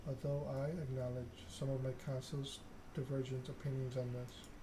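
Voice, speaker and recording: A man's voice: medium pitch, level tone, moderate pace, close to an everyday voice. A man reading the part of a stern, regal female vampire ruler in a formal, solemn way. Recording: clear background noise.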